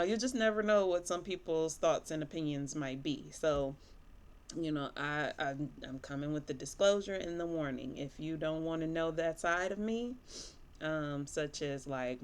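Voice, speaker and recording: A woman in her thirties, a therapist from Michigan, talking candidly and casually to camera with plenty of fillers while sniffly from allergies. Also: deep voice. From Michigan, USA. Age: 30s. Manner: chatty, candid, rambling with fillers. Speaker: licensed therapist.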